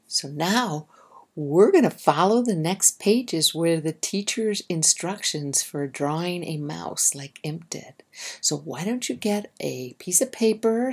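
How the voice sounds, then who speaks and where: Unhurried, husky woman reading to children. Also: fairly low pitch, darkish tone. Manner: simple, instructive, addressing children directly. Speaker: storybook reader and drawing guide. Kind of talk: read-aloud to young children.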